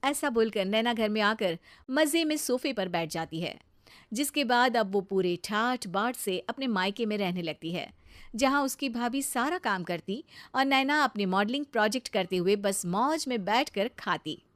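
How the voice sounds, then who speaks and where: A woman's voice: mid-range voice, expressive, moderate pace, no echo, clean studio sound. A woman storyteller reading scripted narration for a moral family story.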